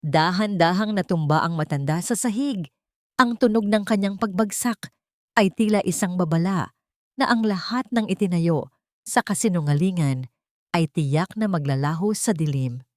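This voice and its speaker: A woman narrating a dramatic love story in a measured, theatrical reading style. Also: fairly low pitch, darkish tone, dry recording, studio quality.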